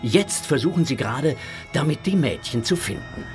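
A man narrating a children's audio drama with a husky voice and dramatic, suspenseful delivery. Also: medium pitch, expressive, normal speed, over music, lightly acted. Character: unhurried storyteller narrating a children's adventure.

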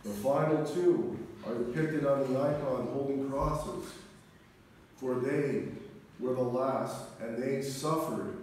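A middle-aged man, an Orthodox priest, preaching a sermon in a solemn, deliberate manner with measured pauses. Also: medium pitch, darkish tone. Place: large church interior, speaking from the front. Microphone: distant camera microphone. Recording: echoey church, distant mic, faint noise.

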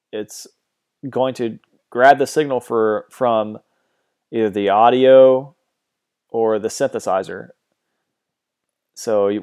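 A man with a low, mellow and smooth voice talks through music software settings at his computer. He sounds relaxed and a bit hesitant, filling pauses with ums and uhs. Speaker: music production tutor. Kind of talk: screen-recorded software tutorial.

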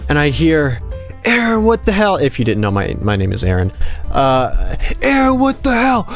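A man in his twenties doing casual gameplay commentary and telling personal stories in an animated, rambling, conversational way. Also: on the high side, normal speed, soft background music. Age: 20s. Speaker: gaming video host. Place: small dim room at home, indoors.

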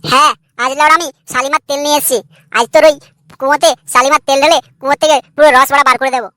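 A man's voice: very high-pitched, level tone, racing, pushed and bright. A man voicing a crude, irritable young husband in a comedy cartoon, brash, argumentative and foul-mouthed. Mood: boastful, insistent.

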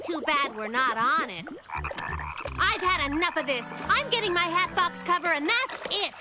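An actress voicing a clever, bossy little girl in a cartoon, sensible and sharp-tongued. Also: very high-pitched, animated, moderate pace. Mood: exasperated. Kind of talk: cartoon voice acting. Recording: music bed under dialogue.

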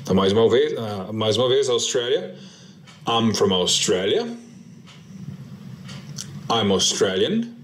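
A man with a Brazilian Portuguese accent teaching English, modelling short answers slowly and repeating them for practice. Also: on the low side, very animated, a little hiss or hum. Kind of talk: slow drill practice lesson.

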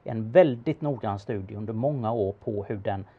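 A man giving casual expert commentary in a conversation, speaking haltingly with pauses in mid-sentence. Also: fairly low pitch, breathy edge, phone-quality sound. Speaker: car expert answering questions about an auction car.

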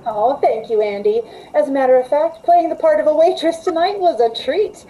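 A woman's voice: high voice, normal speed, dark tone, rough recording. A middle-aged woman, an actress, making scripted curtain-call chat on a radio show over a video call. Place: room at home, on a video call.

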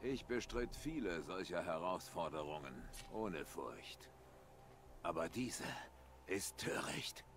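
A gravelly, proud hunter. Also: male voice, deep voice, expressive, slow pace, fairly bright tone, slight background noise, full character voice.

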